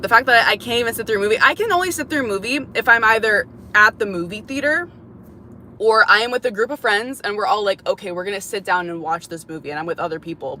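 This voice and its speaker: A woman's voice: mid-range voice, bright, forceful tone, slight background noise. A young woman chatting casually on a livestream, rambling with lots of "like" and restarts. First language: English, also speaks Lithuanian. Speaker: YouTuber.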